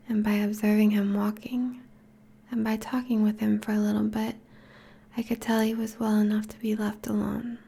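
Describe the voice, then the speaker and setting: A woman playing a teasing, affectionate vampire girlfriend in an intimate ASMR roleplay, speaking softly in a breathy, whispery voice. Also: fairly high pitch, full character voice. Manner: soft, teasing, languid.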